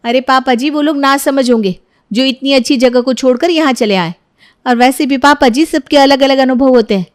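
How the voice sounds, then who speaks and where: A woman's voice: high-pitched, moderate pace, lightly acted. A woman voicing an envious, nagging mother and daughter-in-law in a moral story, scolding and complaining. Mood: pleading, argumentative.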